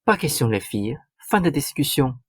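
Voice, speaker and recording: A man's voice: mid-range voice, big pitch swings, moderate pace, slightly rough, no room sound, studio quality. A man playing a bossy, short-tempered stepmother in a radio drama, curt and lecturing. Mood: angry, menacing. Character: domineering, short-tempered stepmother laying down the law. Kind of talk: stage-like radio drama acting.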